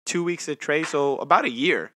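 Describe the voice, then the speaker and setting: A young man in his twenties chats casually as a podcast co-host, speaking with a noticeable lisp. His voice is bright and forward, recorded close and dry with no room sound. He rambles and pokes fun at himself. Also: fairly high pitch, normal speed, dry recording. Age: 20s. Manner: talks with a lisp from new aligner trays; chatty, self-mocking. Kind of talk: casual chat.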